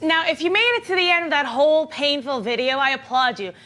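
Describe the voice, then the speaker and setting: A woman's voice: high voice, quick pace, loud music behind the voice. A woman hosting an online entertainment and sports news show, reading scripted items in a brash, sarcastic, slangy manner.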